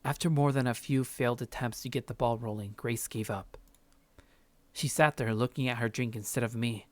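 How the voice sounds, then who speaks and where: A man's voice: mid-range voice, fairly even intonation, normal speed, no echo. A man reading visual novel narration aloud as a let's play streamer, casual and sometimes stumbling over the text. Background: game music.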